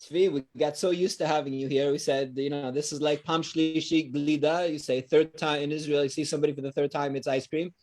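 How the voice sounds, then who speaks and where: A man hosting an interview, asking questions in a friendly, chatty way with quick follow-ups. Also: a little high, steady tone, a little mellow. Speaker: interview host.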